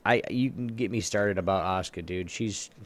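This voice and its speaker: A man's voice: deep voice, normal speed, no room sound. A man chatting casually as a podcast co-host, rambling and blunt, full of slang. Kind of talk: casual chat. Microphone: online voice chat mic.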